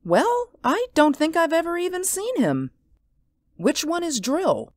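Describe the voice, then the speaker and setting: A woman playing an amused, indulgent mother who gently chides and humors her child in a radio drama. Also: very high-pitched, big pitch swings, a little slow, no room sound, studio quality, heavily acted. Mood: gently chiding, humoring.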